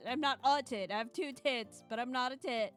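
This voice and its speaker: A woman with a fairly high voice hosts a live game stream. She moves between playful, self-mocking chat and expressive read-aloud narration, with faint music behind her. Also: moderate pace, smooth. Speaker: streamer and host reading a visual novel aloud. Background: background music.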